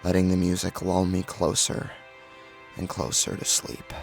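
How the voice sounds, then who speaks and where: A man's voice: deep voice, monotone, a little slow, vocal fry, slightly rough. A man giving a theatrical, suspenseful first-person narration of a horror story. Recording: loud music under the narration. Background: loud music.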